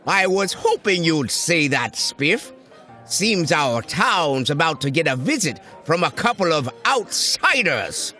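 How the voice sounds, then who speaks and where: An actor playing a calm, patient small-town cartoon sheriff, measured, folksy and diplomatic. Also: male voice, on the high side, faint music behind, full character voice. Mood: calm, firm.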